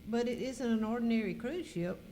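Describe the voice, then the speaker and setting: Woman playing a high, mellow, suspicious lady passenger. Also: fairly quick, lightly acted. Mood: realizing, alarmed. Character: curious, suspicious woman cruise passenger piecing things together. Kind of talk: amateur live radio skit acting. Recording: echoey hall, faint noise.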